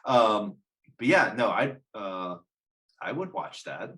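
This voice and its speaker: A man's voice: on the low side, very animated, takes it slowly, pushed and bright. A man chatting casually about pop culture in a rambling, sweary, self-mocking way. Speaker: podcast panelist. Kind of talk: casual chat about games and films.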